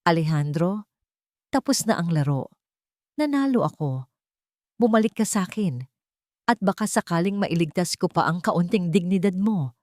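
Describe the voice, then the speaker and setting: A woman's voice: on the low side, lively intonation, moderate pace, long pauses, darkish tone, no echo, studio quality, close to an everyday voice. A woman voicing an arrogant rich villainess in an audio storybook drama, haughty and triumphant. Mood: gloating, threatening.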